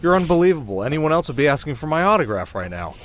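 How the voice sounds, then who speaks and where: A man's voice: on the high side, big pitch swings, quick pace, a bit bright, slight background noise. A man playing a cocky, playful winter spirit in an ASMR roleplay, speaking straight to the listener in flippant, sarcastic, casual banter. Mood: sarcastic, teasing.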